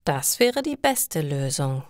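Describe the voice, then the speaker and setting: A woman voicing a sensible, experienced mother giving advice in a learner's story, measured and clear. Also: low-pitched, sing-song, dry recording, clean studio sound, pitched below the performer's own voice, exaggerated voice. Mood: advising. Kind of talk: acted quotes in a slow learner story.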